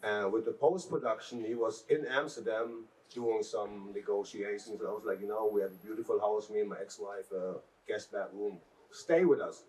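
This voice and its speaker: A man from Germany with a fairly low voice tells his story calmly on a festival panel stage. His sound is set back from the microphone, as if heard across the stage. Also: normal speed, hardly any pauses. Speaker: actor on a panel. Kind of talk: casual panel talk. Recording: distant mic.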